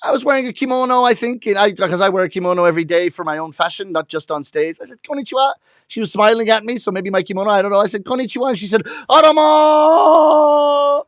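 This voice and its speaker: A man who is a comedic storyteller, talking to the camera in an animated way and chuckling at his own jokes. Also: sits high, racing, hardly any pauses, dry recording, studio quality. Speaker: rakugo storyteller.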